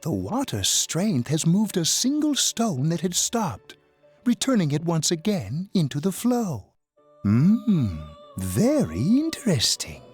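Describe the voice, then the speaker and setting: An actor playing an eerie, amused old master of a mysterious room in a video game, speaking in a theatrical, deliberate way. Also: male voice, a little high, huge pitch swings, a little mellow, slightly rough, soft background music, exaggerated voice.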